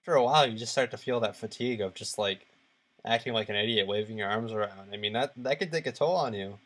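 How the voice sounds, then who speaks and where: A man co-hosting a podcast, speaking casually and haltingly as he searches for his words. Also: on the low side, fairly bright tone. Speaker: podcast co-host. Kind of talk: casual discussion of video games.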